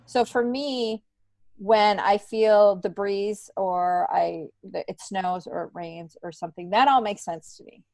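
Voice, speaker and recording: A middle-aged woman chats as a guest in a casual conversation. She wanders through her thoughts like a relaxed storyteller, at an average pitch. The sound is dry, with very little room around her. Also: a little slow, no room sound. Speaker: podcast guest, stand-up comedian.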